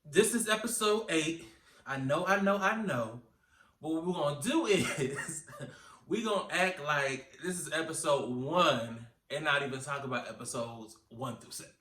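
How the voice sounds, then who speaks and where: A man with a Southern US accent giving casual, chatty commentary as a reviewer, animated, sassy and opinionated, often posing rhetorical questions. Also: a little high, normal speed. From Georgetown, South Carolina, United States. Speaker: reality TV recap reviewer. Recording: roomy echo, distant from the mic.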